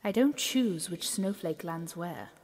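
An actress playing a cryptic, calm spirit guide in an audio drama. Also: female voice, lowish voice, a little slow, slight background noise. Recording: reverberant hall with faint chimes.

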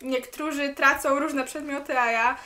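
A woman's voice: high voice, fairly even intonation, a little slow, sharp tone. A young woman playing a talkative, bold party-lover in an amateur radio drama, gossiping in colloquial speech. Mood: gossipy, chatty. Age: young adult.

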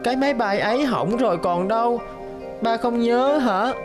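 A woman's voice: fairly high pitch, normal speed, music in the background, close to an everyday voice. A woman voicing a lonely, eager little boy in a cartoon, childlike and earnest. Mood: pleading. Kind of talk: cartoon voice acting.

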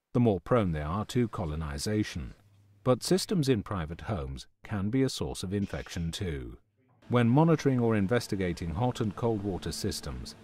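A man reading scripted narration for a training film in a measured, instructional manner. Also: deep voice, a little mellow, slightly rough.

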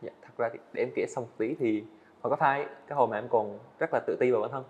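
Chàng trai trẻ nói nhanh, giọng hơi đục. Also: male voice, medium pitch, darkish tone, dull audio. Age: young adult. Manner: earnest, fast-talking, frequent small restarts. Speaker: student animator guest. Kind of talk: casual interview answers. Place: indoor studio set.

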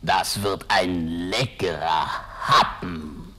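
An actor playing a sly, greedy wolf in a fairy-tale audio play, husky and breathy, scheming with hums and drawn-out words. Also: male voice, deep voice, expressive, pushed and bright, full character voice. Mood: gloating, greedy. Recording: noisy 1970s vinyl radio-play rip.

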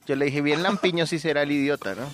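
A man co-hosting a comic radio show, quick with his jokes. His voice sits high, and music plays clearly behind him. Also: a bit bright. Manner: joking, quick asides. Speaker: radio co-host.

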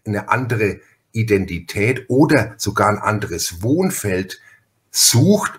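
A man with a fairly low voice hosts a casual podcast chat. He talks at length in a halting way, often repeating a word before he carries on. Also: very animated. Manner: talkative, halting, repeats words. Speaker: podcast host.

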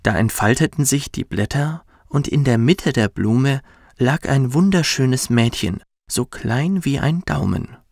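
Narrator: man, gentle bedtime storytelling, unhurried, creaky low edges, soothing delivery. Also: animated, no room sound, studio quality. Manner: soft, soothing, measured. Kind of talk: gentle scripted storytelling for children.

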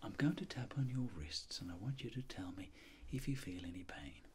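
A middle-aged British man doing an ASMR clinic roleplay, soft, breathy and whispery, with gentle, polite, measured instructions. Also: lowish voice, lively intonation, noisy background. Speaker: ASMR creator role-playing a clinic doctor.

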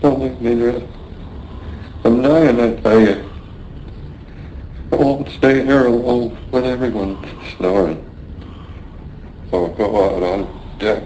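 An actor playing a dying sailor in a radio drama, weak, frightened and bitter. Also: male voice, medium pitch, fairly even intonation, slow pace, long pauses, dark tone, close to an everyday voice. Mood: fearful pleading. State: gravely ill, weak. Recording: noisy radio drama recording.

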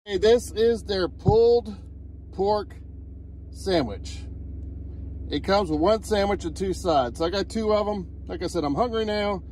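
A man's voice: high voice, a little slow, darkish tone, slight background noise. A man in his forties, a truck driver, talking plainly through a casual food review while eating in his truck cab, recorded on a phone held at arm's length. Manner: plain-spoken, talks between bites. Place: inside a truck cab sleeper. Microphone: phone camera at arm's length.

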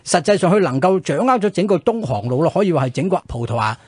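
A man with a Hong Kong Cantonese accent tells history as a radio co-host. He talks quickly in a dry, close sound. He explains conversationally and keeps checking in with short rhetorical questions. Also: a little high, animated, a little mellow, husky, no room sound.

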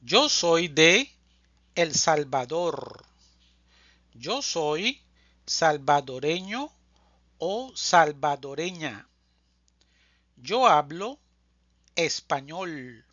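A language teacher reading a scripted drill slowly, enunciating deliberately phrase by phrase, as a close voice-over. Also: mid-range voice, big pitch swings, a bit bright, no echo, lo-fi sound. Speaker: Spanish teacher.

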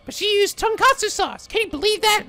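An earnest, fussy radio listener from a video game, oddly high and squeaky with a creaky rasp as a man puts him on. He complains in a put-upon, dead serious way. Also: expressive, brisk, bright, forceful tone, soft background music, exaggerated voice.

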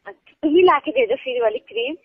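A woman's voice: high-pitched, animated, a little fast, slightly rough. A woman chatting casually on a phone call, answering in brief, plain replies. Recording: tinny phone-line call recording.

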